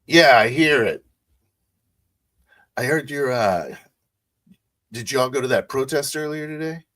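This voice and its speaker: A man playing a tabletop roleplaying game, describing his character's actions casually with pauses. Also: medium pitch, a bit bright, no echo. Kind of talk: improvised roleplay narration.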